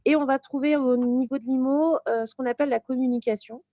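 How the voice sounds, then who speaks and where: A woman with a high voice explains a course the way a teacher would, informally and step by step. The sound is close and dry, though a little dull and lo-fi. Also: steady tone, brisk, dry recording. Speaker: teacher presenting a real estate course.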